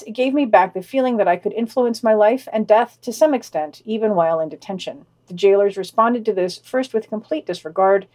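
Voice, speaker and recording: A woman with a medium-pitched voice presents a scripted news roundup as a podcast host. She reads summaries and quotations, adding commentary in a dry, wry manner. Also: a little mellow.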